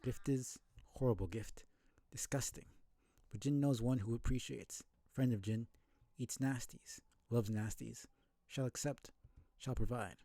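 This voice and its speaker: A man's voice: medium pitch, a little slow, mellow, no room sound. Man voicing a meek creature trader in clipped fragments. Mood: disgusted, then accepting. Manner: clipped broken phrases, speaks of itself in third person. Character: small, meek, strange creature trader obsessed with gifts. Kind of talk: voicing video game character dialogue.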